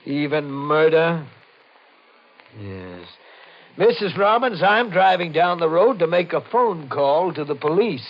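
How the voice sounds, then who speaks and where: A man's voice: a little high, big pitch swings, takes it slowly, full character voice. An actor playing a suspicious, insistent man who questions pointedly in a 1950s radio mystery. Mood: accusing. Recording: muffled, noisy old 1950 radio recording.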